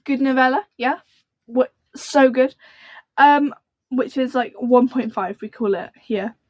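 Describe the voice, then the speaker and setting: A young woman who speaks in a soft, quiet voice, chatting to camera about books in a rambling, gushing way. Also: sits high, unhurried, no room sound. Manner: rambling and gushing, full of fillers. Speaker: book vlogger. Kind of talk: casual book chat to camera.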